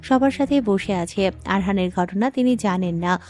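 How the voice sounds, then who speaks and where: A woman's voice: mid-range voice, moderate pace, a little mellow. A woman reading scripted story narration as the narrator of an audio drama. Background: music.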